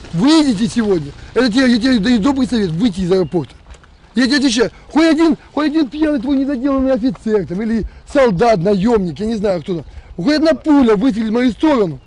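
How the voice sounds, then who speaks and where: A man in his thirties from Donbas, a militia commander angrily threatening someone over a phone call outdoors on a road. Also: extremely high pitch. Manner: angry, shouting threats and insults. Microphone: camera a few meters away, on a phone.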